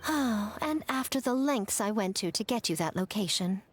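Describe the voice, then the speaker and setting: A woman's voice: medium pitch, animated, normal speed, faint music behind, close to an everyday voice. A woman voicing a poised, sly and teasing adult shrine priestess in a video game.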